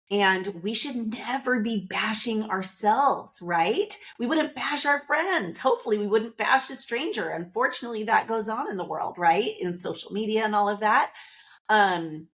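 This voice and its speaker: A woman hosting a podcast, talking to listeners in a casual, upbeat and chatty motivational monologue, seated indoors. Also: mid-range voice. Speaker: podcast host.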